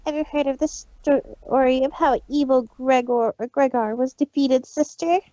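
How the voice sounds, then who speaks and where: A woman's voice: high voice, moderate pace, warm tone, no room sound, lightly acted. A woman voicing a boastful young mare magician who speaks of herself in the third person, in a theatrical manner. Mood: taunting. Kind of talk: fanfic reading voice acting. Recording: dull, lo-fi stream audio.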